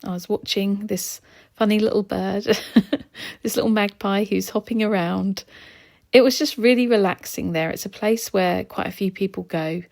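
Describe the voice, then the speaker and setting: A woman narrating her art vlog in a relaxed, chatty way, her voice slightly hoarse as she loses it. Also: mid-range voice, moderate pace. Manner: chatty, relaxed, with soft laughs and ums. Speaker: art vlogger. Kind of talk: casual voice-over narration.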